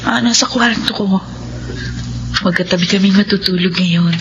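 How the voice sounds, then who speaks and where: An actress playing a caring, dutiful college-age daughter in a Filipino radio drama, gentle and respectful. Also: female voice, medium pitch, moderate pace, natural voice. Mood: gentle concern. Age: young adult. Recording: muffled, noisy radio drama broadcast.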